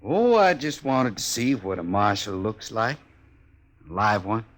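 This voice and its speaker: An American actor playing a cocky drifting cowboy who needles with false friendliness and folksy talk in a 1950s Western radio drama. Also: male voice, lowish voice, big pitch swings, a little slow, fairly bright tone. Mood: sly, taunting. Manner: taunting, drawling folksy talk.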